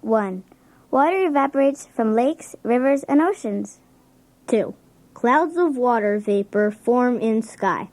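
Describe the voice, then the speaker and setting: A woman's voice: a little high, lively intonation, takes it slowly, darkish tone. A woman playing an earnest schoolchild who carefully recites science facts for a children's read-along.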